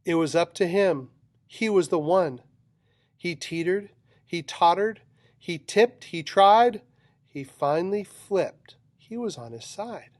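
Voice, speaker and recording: A man with a slightly high voice reads a children's storybook aloud. He takes his time, giving the rhyming lines a dramatic, rhythmic delivery. The recording is close and dry. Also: expressive, slow pace, a little mellow, dry recording. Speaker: storybook reader. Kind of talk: expressive children's picture book read-aloud.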